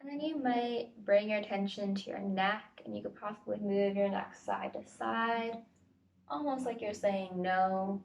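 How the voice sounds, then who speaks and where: A woman facilitator leading a guided body scan meditation with gentle, tentative invitations and pauses, recorded at home. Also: mid-range voice, vocal fry, slight background noise. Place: at home, indoors. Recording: home recording, distant mic.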